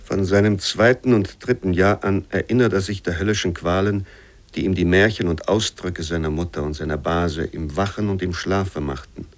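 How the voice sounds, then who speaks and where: Low-pitched sober literary memoir reader. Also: male voice, normal speed. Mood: sober, grave. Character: sober male reader of a bleak childhood memoir. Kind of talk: scripted literary reading for radio.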